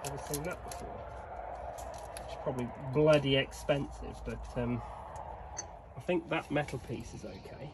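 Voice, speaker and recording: Young guy, casual self-talk vlog, average pitch, noisy night air behind. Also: normal speed. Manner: chatty, cheeky, thinking aloud while working. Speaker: vlogger mechanic. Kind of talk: casual workshop narration. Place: outdoors at night by an old digger.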